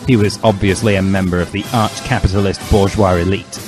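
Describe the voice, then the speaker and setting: A man with a lowish voice reads a comic story as dramatic narration. He gives it solemn, overwrought emphasis, and music plays clearly behind him. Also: fairly even intonation, a little fast. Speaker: narrator, reader.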